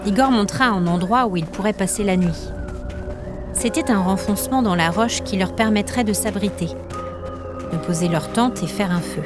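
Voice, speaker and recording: A woman narrating scripted fiction as a storyteller, with measured, literary phrasing. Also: mid-range voice, over music.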